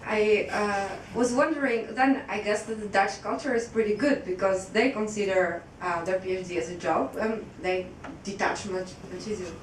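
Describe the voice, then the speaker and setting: A woman's voice: normal speed, few pauses. A woman putting an informal question from the audience, hesitantly and in a meandering way. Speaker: audience member asking a question. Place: echoey indoor conference room. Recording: echoey room, distant mic, faint rumbling noise.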